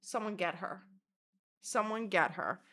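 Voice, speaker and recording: A woman's voice: medium pitch, measured pace. Crude, rambling woman vlogger. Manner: crude, profane, rambling storyteller; self-mocking and animated, bursts of loud laughter. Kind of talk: casual storytelling vlog.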